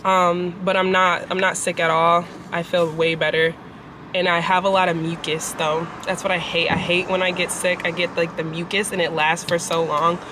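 A young woman vlogging from her car, chatty and animated, sounding nasal after a cold. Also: on the low side, moderate pace. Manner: chatty, animated, swears casually. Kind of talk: casual vlog chat. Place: parked car at a traffic light. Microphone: camera on the dashboard. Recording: car with street noise behind.

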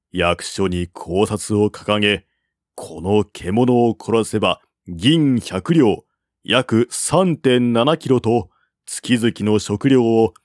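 A man narrates a historical novel in a formal storytelling style. His pace is slow and measured, his pitch is mid-range. The recording is dry, with no room sound. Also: a little mellow, no room sound. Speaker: narrator, audiobook-style reader.

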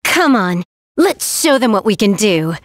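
A woman's voice: mid-range voice, big pitch swings, measured pace, no echo, studio quality. A woman voicing a polite, earnest young princess warrior in an anime game. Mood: determined.

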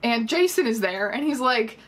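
A young woman speaks casually to camera in a small bedroom. Her voice sits fairly high and has a husky edge. She tells things in an animated, sarcastic way, like a vlogger giving a mocking review. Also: moderate pace. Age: 20s. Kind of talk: casual scripted-loose commentary to camera.

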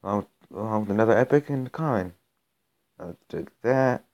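A young man hosting a mobile game channel, his voice a bit raspy from being sick, rambling and cutting himself off as he comments on his matches. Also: lowish voice, animated, a little fast, a little mellow, lo-fi sound. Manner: rambling, self-interrupting, gets exasperated during play. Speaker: gaming channel host. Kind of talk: casual gameplay commentary.

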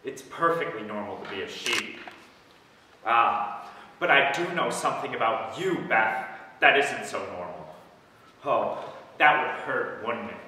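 In a very echoey hall, far from the mic, a teenage boy plays a bitter, scornful male outsider on stage. The delivery is theatrical and sarcastic, with a bright, intense edge. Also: mid-range voice, expressive, a little slow, long pauses, a little hiss or hum. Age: teens. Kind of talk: stage dramatic monologue.

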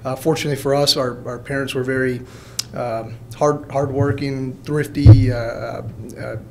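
A man in his thirties from Mexico and Illinois, telling his personal story at a podium in a halting, plain-spoken, informal way. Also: mid-range voice, steady tone, vocal fry, a little mellow, slight background noise, low-quality recording. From born in Mexico, grew up in Peoria, Illinois. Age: 30s. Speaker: college alumnus guest speaker. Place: indoor college meeting room. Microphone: podium gooseneck microphone.

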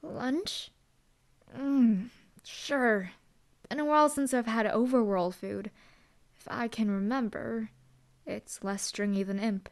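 A woman's voice: on the high side, fairly even intonation, slow pace, pauses often. Woman voicing a teasing hellhound bodyguard. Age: young adult. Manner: dry, teasing, laid-back. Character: teasing young female hellhound demon bodyguard. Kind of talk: audio roleplay acting.

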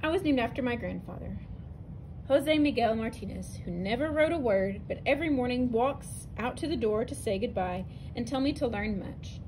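A woman teacher reading a narrative poem aloud from a picture book, clear and expressive, with a few small restarts. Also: a little high, normal speed, background noise. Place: indoors, at a table over an open book.

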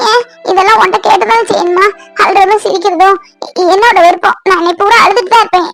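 An actress playing a bitter, tearful young bride in a serial, scolding curtly. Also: female voice, very high-pitched, steady tone, fast talker, a bit bright, faint music behind. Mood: tearful, angry. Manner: scolding, curt, emotional. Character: bitter, tearful young bride who scorns her husband. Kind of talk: Tamil TV serial acting.

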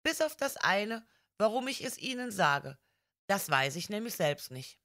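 A woman voicing a proud, defiant young woman who confides family secrets in an audiobook, earnest, formal and deliberate. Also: medium pitch, sing-song, sharp tone, exaggerated voice. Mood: defiant, desperate. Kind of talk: audiobook character reading.

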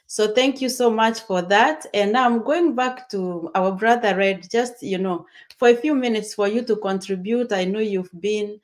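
Woman from Kenya, call host, upbeat, brisk explanations, webcam audio. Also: mid-range voice. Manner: upbeat, quick explanatory talk. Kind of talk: video call interview. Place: home room. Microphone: webcam on video call.

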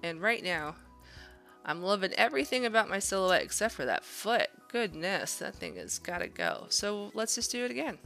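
A woman's voice: medium pitch, big pitch swings, normal speed, gravelly, sharp tone, soft background music. A woman art instructor giving a casual demonstration, thinking aloud in an encouraging way as she draws. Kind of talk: casual drawing demonstration narration.

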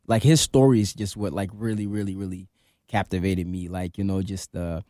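A man's voice: sits low, fairly even intonation, normal speed, dark tone, dry recording. Laid-back young man, dry studio mic. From Ghana, raised in Amsterdam. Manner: laid-back, many fillers, laughs easily. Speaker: guest rapper. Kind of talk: casual radio interview. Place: radio studio. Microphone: studio mic on boom arm.

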